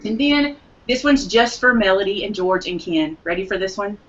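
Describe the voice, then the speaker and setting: A woman's voice: medium pitch, lively intonation, moderate pace, a bit bright, lo-fi sound. A woman co-hosting a live craft show, eagerly announcing shopping deals while losing her voice. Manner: enthusiastic deal announcer. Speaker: co-host.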